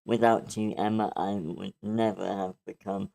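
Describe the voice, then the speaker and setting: A man playing a wounded, vengeful villain in a screenplay table read, with slow, menacing, dramatic phrasing. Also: fairly low pitch, steady tone, vocal fry, warm tone. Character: vengeful, wounded male villain running for mayor.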